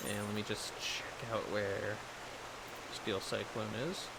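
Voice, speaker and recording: A man's voice: fairly low pitch, flat delivery, slow pace, creaky, very noisy. A man streaming a game with casual commentary, half talking to himself and sounding a little tired. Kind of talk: casual livestream commentary while playing.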